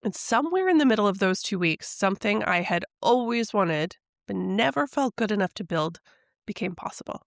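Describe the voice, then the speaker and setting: A middle-aged woman hosting a podcast, telling a personal story in a soft, reflective, confessional way, her voice still recovering from a head cold. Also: medium pitch, animated, normal speed, creaky, no echo, studio quality. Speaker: podcast host and intuitive coach.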